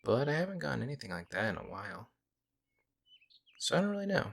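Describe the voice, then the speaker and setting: A man playing a friendly young werewolf, a lone wolf who talks casually and teases gently in an ASMR audio roleplay. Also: mid-range voice, big pitch swings, fast, creaky. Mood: casual, musing. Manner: casual, hesitant musing, gently teasing. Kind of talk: ASMR audio roleplay, intimate conversational acting.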